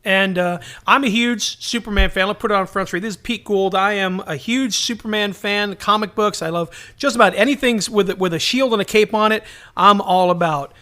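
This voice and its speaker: A man's voice: sits high, normal speed, few pauses, pushed and bright. A middle-aged man co-hosting a podcast in a casual, jokey, colloquial way. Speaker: podcast co-host. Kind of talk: casual chat.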